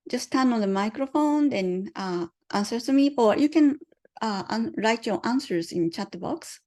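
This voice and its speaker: A woman's voice: mid-range voice, a little mellow. A woman presenting to an online audience on a video call, hesitant with many fillers, often asking the audience questions.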